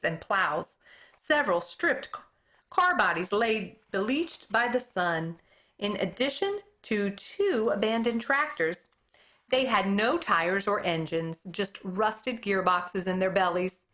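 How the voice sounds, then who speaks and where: A woman, an elementary school teacher, reading a children's book aloud with expressive storytelling, stumbling over hard names and commenting on them. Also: medium pitch.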